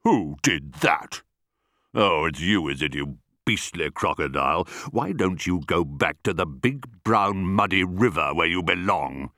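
A man voicing a big, indignant, scolding elephant in a children's storybook, with a big, deep voice. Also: expressive, measured pace, sharp tone, dry recording, studio quality, heavily acted.

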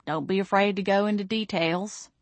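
A woman's voice: mid-range voice, fairly even intonation, normal speed, no echo, close to an everyday voice. A folksy, mischievous boyfriend with a beer, put on by a woman reading a story. He talks casually and cheekily, always laid-back and egging people on. The recording is dry. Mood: gleeful, curious.

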